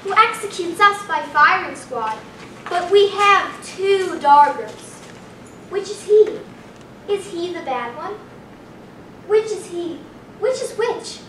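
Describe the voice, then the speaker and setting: Girl actor doing a macabre storybook little girl, declaiming, high voice, far from mic in a roomy hall. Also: lively intonation, takes it slowly, pauses often, a bit bright, heavily acted. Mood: urgent, wary. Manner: theatrical, declaiming. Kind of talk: staged play reading. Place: small hall, staged reading before an audience. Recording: roomy distant camera recording, faint noise.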